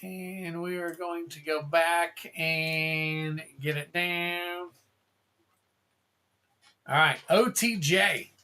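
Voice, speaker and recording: Laid-back stream host, bright voice, rambles thru pack openings and reads out card names. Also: mid-range voice, steady tone, extremely slow, long pauses, clean tone. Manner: rambling, calls out card names, thanks viewers. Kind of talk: casual livestream chatter.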